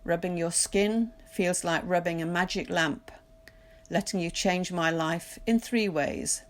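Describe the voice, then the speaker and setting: A woman reading poetry aloud in a measured, deliberate literary recitation, pausing between phrases. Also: fairly low pitch. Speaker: poetry reader. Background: music.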